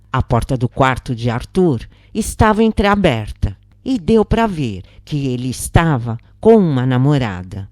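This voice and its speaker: Slow, low-voiced Brazilian woman narrating. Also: mellow, dry recording, studio quality. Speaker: narrator of spiritist stories. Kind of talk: scripted story narration.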